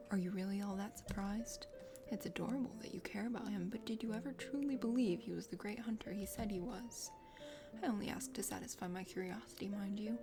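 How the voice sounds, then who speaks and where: Woman as haughty game sister, half-whispered, dry, dismissive, clear music behind. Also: mid-range voice, lightly acted. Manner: condescending, dry. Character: dismissive, cool older sister of a dragon prince. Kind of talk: ASMR game voice acting.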